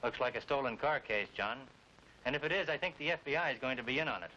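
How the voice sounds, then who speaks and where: A man's voice: medium pitch, lively intonation, quick pace, close to an everyday voice. An American man playing a businesslike, matter-of-fact state police lieutenant in a 1950s training film. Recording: 1950s film sound with faint noise.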